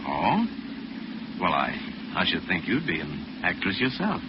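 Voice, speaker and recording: An actor playing a calm, educated amateur sleuth with quiet authority and measured, formal speech in a 1940s radio drama. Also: male voice, sits low, exaggerated voice. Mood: calm, curious. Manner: measured, formal, quotes proverbs. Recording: noisy, dull old 1940s radio recording.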